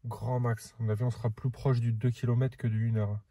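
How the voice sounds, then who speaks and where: A man's voice: mid-range voice, flat delivery, fast, mellow, no room sound. A man recording a casual training diary vlog, chatting and thinking aloud in short bursts. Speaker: amateur triathlete vlogger.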